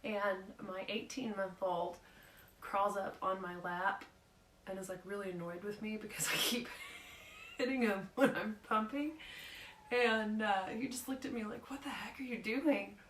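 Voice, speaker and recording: A woman talks slowly, her voice a little hoarse. She rambles nervously through a casual live talk, full of ums and restarts. The room around her echoes noticeably. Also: mid-range voice, a bit bright, slight background noise. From Oklahoma. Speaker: speaker giving a live talk.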